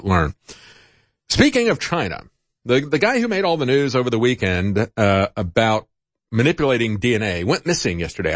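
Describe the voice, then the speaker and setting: A middle-aged man with a fairly low voice talks as a show host giving informal commentary. His delivery is conversational and meandering, moving loosely from aside to aside. The sound is dry and close, with very little room in it. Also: no echo. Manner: rambling, conversational, chuckles at his own asides. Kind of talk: informal spoken news commentary.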